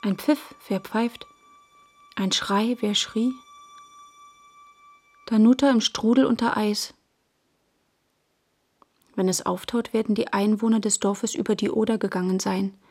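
A woman's voice: mid-range voice, fairly even intonation, measured pace, pauses often, a little mellow, breathy edge. A woman reading literary prose for radio as a narrator, in a terse, plain-spoken way.

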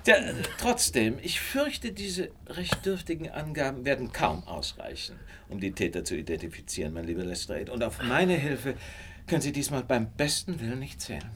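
A man's voice: mid-range voice, animated, moderate pace, hardly any pauses, a bit bright, a little hiss or hum, lightly acted. A man playing a shrewd, cool and commanding detective in a radio play, suave and ironic with feigned innocence. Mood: smoothly regretful.

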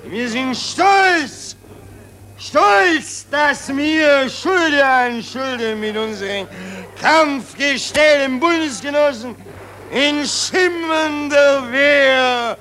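An actor playing a pompous, tipsy Austrian general who stammers and repeats himself, with an Austrian accent, in satirical radio drama. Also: male voice, extremely high pitch, very slow, pushed and bright, a little hiss or hum, exaggerated voice. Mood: bombastic, proud.

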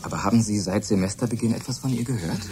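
An actor playing a shy, lovesick young research assistant, earnest and stammering, in a German radio drama. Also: male voice, on the low side, quick pace, background noise, close to an everyday voice. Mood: doubtful. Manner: hesitant, stammering.

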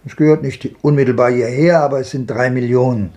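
Man acting old traveller, warm dark voice, world-weary, lyrical, declamatory, 1950s radio drama. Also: medium pitch, steady tone, a little fast, natural voice. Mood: musing. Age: older. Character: world-weary old wanderer looking back on a hard life.

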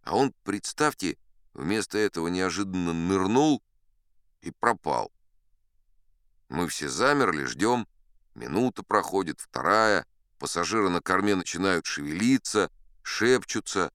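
Boastful old sea captain spinning tall tales, a guy reading it, deep voice, swings up and down a lot, confiding asides. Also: animated, unhurried, a bit bright, clear, resonant tone, dry recording, clean studio sound. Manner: chatty, confiding asides to the listener. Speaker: audiobook narrator. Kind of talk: first-person storytelling in an audio play.

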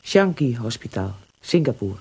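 An actress playing a kindly, grave older women's camp leader, gentle and measured, in radio drama. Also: female voice, rumbling low, very animated, husky, full character voice. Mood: regretful. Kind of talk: naturalistic radio drama acting.

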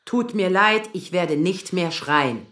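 A woman's voice: on the low side, animated, slow, fairly bright tone, roomy sound. An actress playing an overworked lawyer daughter in her thirties, controlled but exasperated, in an audio drama. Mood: strained calm. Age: 30s. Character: overworked 35-year-old lawyer daughter, strained but restrained. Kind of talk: acted German audio drama dialogue.

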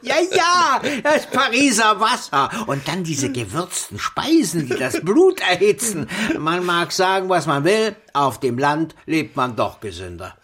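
A man's voice: high-pitched, wildly swooping pitch, a little slow, hardly any pauses, pushed and bright, full character voice. An actor playing a chatty, self-assured, worldly male busybody in a radio play, opinionated and theatrical. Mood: amused pontificating.

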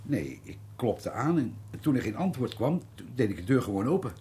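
An actor playing a nervous, obliging hotel employee in a radio play, anxious and deferential, with some stammering. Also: male voice, mid-range voice, big pitch swings, normal speed, warm tone, a little hiss or hum, close to an everyday voice. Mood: explanatory.

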